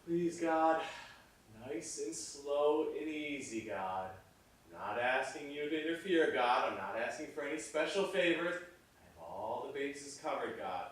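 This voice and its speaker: A man's voice: a little high, lively intonation, measured pace. An actor playing an obsessive, stubborn male film director, speaking in an emphatic, self-important, theatrical way. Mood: pleading. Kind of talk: theatrical dramatic dialogue. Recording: very echoey room, distant microphone.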